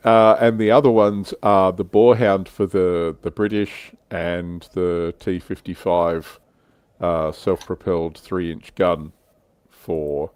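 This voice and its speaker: A man's voice: sits low, a little mellow, clear, resonant tone, no room sound. A man hosting a livestream, chatting casually and reading out viewer comments. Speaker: livestream host.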